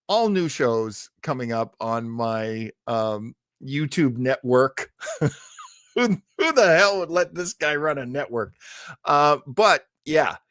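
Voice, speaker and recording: Middle-aged man, animated pitch, chatty livestream host, rambling and effusive, dry close sound. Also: dry recording. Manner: rambling, effusive, self-deprecating. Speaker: host of an old-time radio show livestream. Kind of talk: casual, chatty livestream hosting.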